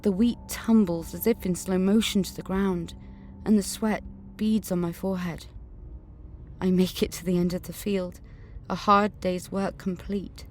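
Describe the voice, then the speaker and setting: A woman's voice: lowish voice, steady tone, a little slow, faint music behind, close to an everyday voice. A woman playing an angry, suspicious teenage schoolgirl in an audio drama, earnestly narrating her memories and visions and speaking in dialogue. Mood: haunted, measured.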